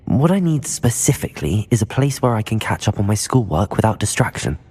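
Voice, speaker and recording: An actor playing a polite, curious young student wizard in a video game. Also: male voice, lowish voice, very animated, fairly quick, a little mellow, husky, dry recording. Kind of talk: video game dialogue acting.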